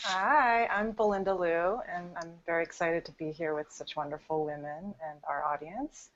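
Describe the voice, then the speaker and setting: A woman in her thirties from Taiwan, introducing herself on a panel in a soft, measured way with many pauses. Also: on the low side, slight background noise. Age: 30s. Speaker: panel guest. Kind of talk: casual self-introduction on a video call. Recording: dull lo-fi video call audio.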